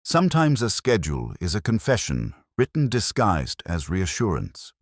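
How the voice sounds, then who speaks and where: A man voicing a thoughtful, soft-spoken police inspector with a precise, dryly philosophical turn in a mystery story. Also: deep voice, huge pitch swings, moderate pace, no echo, studio quality, voice pushed up, heavily acted. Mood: quietly shrewd.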